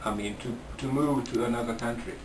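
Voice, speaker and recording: A man from Finland with a Finnish accent, talking slowly in an interview with many restarts. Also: medium pitch, fairly even intonation, background noise. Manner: slow, halting, with repeated restarts. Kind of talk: casual interview talk. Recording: roomy sound from a distant mic.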